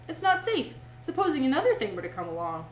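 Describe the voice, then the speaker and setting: Woman voicing an anxious storybook mole, high-pitched. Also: wildly swooping pitch, fast talker, reverberant, recorded from a distance, slight background noise. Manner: worried, pleading. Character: anxious, caring male mole.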